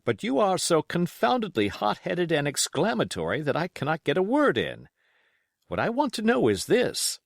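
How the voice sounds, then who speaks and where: Storybook doctor, voiced by a male narrator: genial, composed gentleman, measured and pointed, with animated intonation. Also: fairly high pitch, creaky, dry recording, studio quality, pitched above the performer's own voice, full character voice. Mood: composed, firm.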